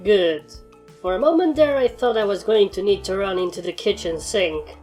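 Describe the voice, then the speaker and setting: A woman voicing a world-weary alien turned pony in a dry, deadpan, matter-of-fact way for a fan fiction reading. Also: medium pitch, moderate pace, close to an everyday voice. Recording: music behind the voice.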